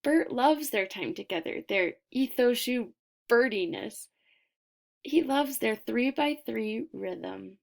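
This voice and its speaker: A woman reading a children's picture book aloud expressively, deliberate in her delivery, with dramatic pauses and emphasis. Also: on the high side, expressive, gravelly.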